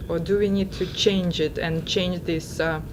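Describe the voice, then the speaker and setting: Woman, low-ish voice, lots of ums, hesitant reflective podcast chat, noisy background. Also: measured pace. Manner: hesitant, searching for words with many fillers. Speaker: podcast co-host. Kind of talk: casual reflective conversation. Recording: steady background noise.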